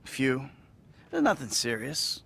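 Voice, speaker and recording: A man playing a troubled middle-aged American criminal in a video game, rambling, swearing and justifying himself in a husky voice. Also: medium pitch, huge pitch swings, measured pace, a bit bright, heavily acted. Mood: evasive, defensive. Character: troubled, volatile middle-aged male career criminal confessing in therapy. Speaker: therapy patient. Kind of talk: naturalistic video game cutscene acting.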